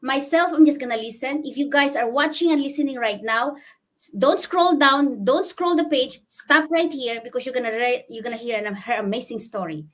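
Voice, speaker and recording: A woman with a high voice hosts a live online interview. She is effusive and emphatic, speaking straight to viewers and repeating phrases to drive them home. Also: moderate pace. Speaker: host interviewing a guest. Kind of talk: livestream interview hosting.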